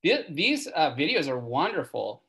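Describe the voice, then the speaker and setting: A woman's voice: sits low, very animated, normal speed, fairly bright tone, slightly rough. A woman on a video call, chatting casually and agreeing with hesitant restarts.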